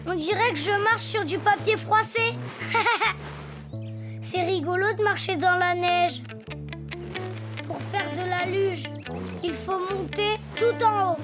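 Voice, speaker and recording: A performer voicing a playful, excitable, imaginative little boy donkey in a preschool cartoon, with a childlike voice. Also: slow, long pauses. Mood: gentle, curious. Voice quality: high, childlike. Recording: music behind the voice.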